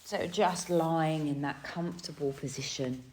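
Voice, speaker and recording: Very slow, low, mellow woman guiding meditation. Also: a little hiss or hum. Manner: gentle, soothing, pausing between short phrases. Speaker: yoga nidra guide. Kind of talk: guided relaxation meditation.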